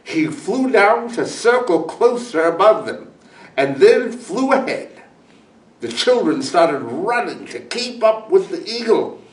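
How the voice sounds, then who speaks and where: Male storyteller, high voice, slow animated children's reading, roomy distant microphone. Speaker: guest storyteller. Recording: echoey room, distant microphone.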